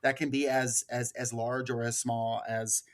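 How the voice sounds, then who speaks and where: A man hosts a casual podcast panel. His voice sits at a middling pitch, and he talks informally with the others as he steers the conversation. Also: flat delivery, a little slow. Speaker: podcast host.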